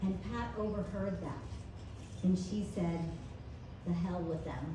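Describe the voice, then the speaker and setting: A woman reading a prepared eulogy at a memorial, measured and tender. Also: lowish voice. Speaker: family member giving a eulogy. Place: memorial gathering in a large room. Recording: echoey room, distant mic, faint noise.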